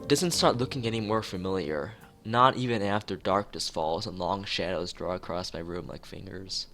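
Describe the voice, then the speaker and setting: Low-pitched man reading aloud over music. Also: normal speed, a bit bright. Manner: stumbles over words and rereads phrases. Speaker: streamer reading a visual novel aloud. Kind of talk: read-aloud narration with casual asides. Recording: music behind the voice.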